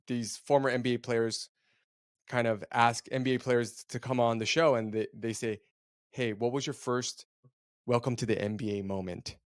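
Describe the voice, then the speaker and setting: Male interviewer, mid pitch, chatty and loose, dry room. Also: fairly even intonation, moderate pace, clean tone, dry recording. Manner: chatty, rambling questions. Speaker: podcast interviewer. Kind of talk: casual interview questions.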